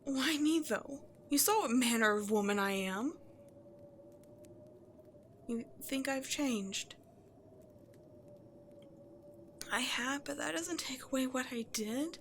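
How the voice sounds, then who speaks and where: A woman playing a remorseful, self-loathing cursed princess turned beast in an ASMR roleplay, halting and confessional, often hushed to near-whispers. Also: sits high, a little hiss or hum, heavily acted. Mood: hesitant, pleading. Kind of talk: dramatic ASMR roleplay monologue to the listener.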